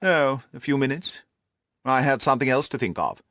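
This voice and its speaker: A man's voice: medium pitch, expressive, normal speed, fairly bright tone, no echo, clean studio sound. A man voicing a haughty, self-satisfied lord in an audiobook, speaking in a pompous, formal, long-winded way. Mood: aloof. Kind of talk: audiobook character dialogue.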